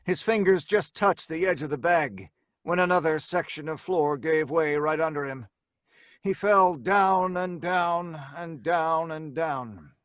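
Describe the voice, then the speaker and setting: Nervous robber telling his story in a radio drama, highish earnest voice, dry sound. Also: fairly bright tone, dry recording. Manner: earnest, urgent. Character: anxious, pleading male robber telling his tale. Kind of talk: old-time radio drama acting and narration.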